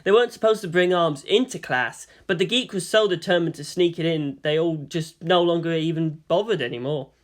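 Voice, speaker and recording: A man reading a story as narrator in a dramatic, expressive scripted style. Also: fairly high pitch, brisk, hardly any pauses. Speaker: narrator of fan fiction.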